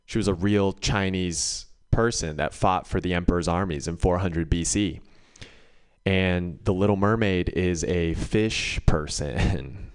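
A man's voice: deep voice, a little slow, clean tone. A man performing a live stand-up set in a conversational, storytelling way. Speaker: stand-up comedian.

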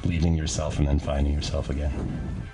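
A man with an extremely low voice talks loosely as a studio guest. He jokes and rambles, often restarting a sentence. He is speaking into an old hand-held microphone in a small radio studio. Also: little pitch movement, moderate pace, loud music behind the voice. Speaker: band leader, guest. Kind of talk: casual radio interview.

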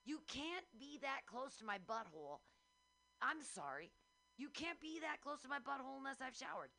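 A woman's voice: high-pitched, big pitch swings, normal speed, gravelly, pushed and bright. Sardonic woman radio co-host. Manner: blunt, sardonic, self-mocking. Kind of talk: crude comedic banter. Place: radio studio.

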